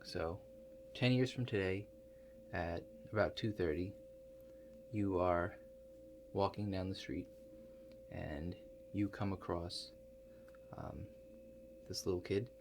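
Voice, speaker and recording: A man playing an awkward, hesitant operator of a strange future-viewing machine in a soft-spoken ASMR roleplay, halting and understated. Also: low-pitched, background noise. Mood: matter-of-fact, hesitant. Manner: halting, understated, mumbled asides with many pauses.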